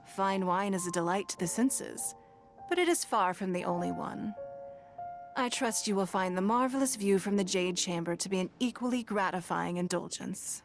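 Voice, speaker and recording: A stately hostess voiced by a woman welcomes her guests with formal, composed grace. Her voice sits in a middle range and stays measured. Faint music plays in this game scene. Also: mid-range voice, animated, lightly acted. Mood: gracious. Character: stately adult female hostess of a grand banquet.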